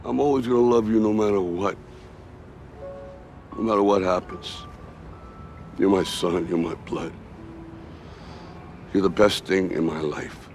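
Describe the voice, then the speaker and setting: A man's voice: mid-range voice, lively intonation, moderate pace, soft background music, natural voice. Man playing an ageing, plain-spoken, tough-love father. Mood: tender, firm. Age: older. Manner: plain working-class speech with dropped grammar. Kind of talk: naturalistic film drama monologue. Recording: film audio with faint background noise.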